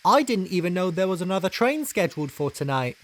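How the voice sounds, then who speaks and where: A man voicing a kind, motherly female steam engine in a storybook dub, earnest and expressive. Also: sits high, brisk, voice pushed up. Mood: nervous politeness.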